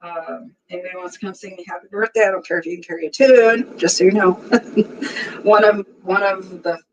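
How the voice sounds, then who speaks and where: A woman's voice: mid-range voice, fairly even intonation, normal speed, rough recording. A woman in her sixties with a strong voice, hosting a casual livestream and chatting easily with her viewers, often laughing. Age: 60s. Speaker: livestream host.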